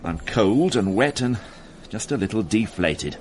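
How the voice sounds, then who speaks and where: Low-voiced, dry inspector, clipped, lively delivery, faint hiss. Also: male voice, measured pace. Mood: dry, weary. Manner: dry, clipped, mildly sardonic. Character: weary, dry-witted British police inspector. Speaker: police inspector. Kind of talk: radio drama acting.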